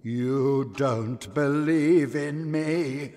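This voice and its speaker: An actor playing the mournful, anguished ghost of a dead businessman in an audio drama, speaking gravely and theatrically with a wailing edge. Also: medium pitch, warm tone. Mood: grave, commanding. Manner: slow, grave, declamatory, wailing. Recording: cavernous reverb with music.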